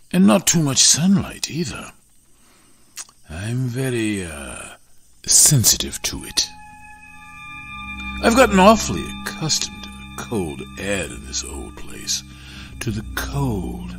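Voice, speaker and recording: A courtly, sinister old vampire in an audio drama. He speaks in a hushed, velvety way, very slow and deliberate. His pitch leaps and dips dramatically, with soft music underneath. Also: breathy edge, heavily acted.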